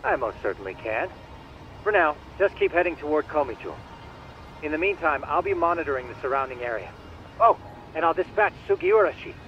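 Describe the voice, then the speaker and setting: Casting: male actor for eager, formal gadget whiz in a game, higher-pitched, slightly muffled sound. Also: lively intonation, brisk, a little hiss or hum, close to an everyday voice. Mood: polite, confident. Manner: formal, precise, courteous. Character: polite, eager, confident male tech-expert ally. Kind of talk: dramatic video game voice acting. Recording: dull band-limited sound, faint music.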